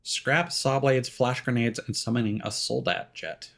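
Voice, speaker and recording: A man's voice: mid-range voice, fairly even intonation, unhurried, creaky, a bit bright. A man streaming a video game, giving casual live commentary and thinking aloud as he plays.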